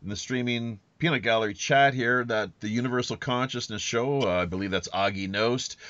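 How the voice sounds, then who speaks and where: A man's voice: mid-range voice, a little fast, fairly bright tone, no echo, dull audio. A man hosting an unscripted podcast in a rambling, folksy way, with playful pirate lingo. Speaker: podcast host.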